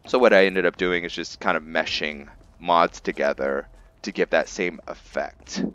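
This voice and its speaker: Very deep-voiced man doing game commentary. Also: a bit bright, highs cut off. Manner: rambling, thinking aloud, explanatory. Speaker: gameplay video creator, player. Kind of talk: casual game commentary to viewers. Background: music.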